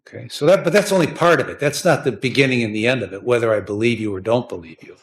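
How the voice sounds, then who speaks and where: A blunt American man with a medium-pitched voice, speaking as a judge in a courtroom. He questions and lectures in plain, repetitive phrases, often tagging them with a short check for agreement. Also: fast talker.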